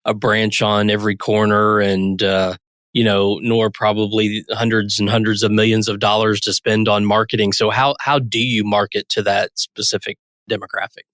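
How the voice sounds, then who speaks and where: A man's voice: lowish voice, flat delivery, moderate pace, fairly bright tone, dry recording. Male podcast host, dry sound. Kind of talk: scripted introduction and interview questions.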